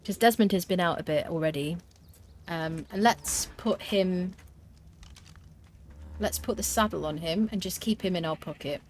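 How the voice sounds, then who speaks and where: Woman streamer, slightly low-pitched, casual talk with plenty of ums, a faint hum underneath. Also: normal speed, long pauses. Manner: chatty, thinks aloud with fillers, reads and answers chat. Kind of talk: live gameplay commentary.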